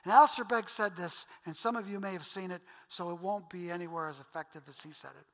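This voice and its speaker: A pastor with an Ohio accent delivers a sermon in a bright, ringing voice. He talks to the congregation in a friendly, earnest way. His voice carries with a long reverb, as in a church hall. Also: high-pitched, fast talker. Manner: earnest, conversational preaching, addresses listeners as guys.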